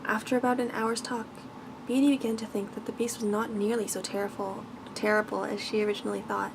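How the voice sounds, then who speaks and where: A woman's voice: mid-range voice, level tone, fast talker, gravelly, noisy background. A woman reading a bedtime fairy tale aloud as ASMR narration. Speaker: ASMR storyteller.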